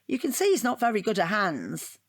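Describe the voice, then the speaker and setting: Wounded, digressive wife for audio drama, mid-pitched, dry and deadpan. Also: female voice, a little fast. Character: wounded, rambling wife dissecting her unwanted portrait gift. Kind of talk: audio drama monologue and dialogue.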